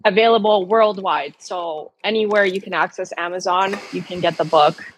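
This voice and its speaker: A woman's voice: on the low side, moderate pace, slightly rough, rough recording. A woman talking casually as an interview guest, a cookbook author answering questions in a conversational way.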